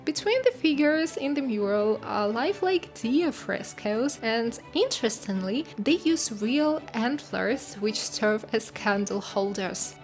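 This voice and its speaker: A woman's voice: fairly high pitch, lively intonation, a little slow, hardly any pauses, over music. A woman vlogger giving travel narration and casual commentary on a sightseeing visit.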